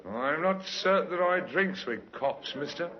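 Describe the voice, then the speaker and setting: An actor playing a gruff, cagey barman in an underworld pub for an old radio drama, talking in colloquial bad grammar. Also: on the high side, animated, unhurried, loud music behind the voice. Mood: guarded, grumbling. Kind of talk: 1950s radio drama acting. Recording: muffled old radio recording.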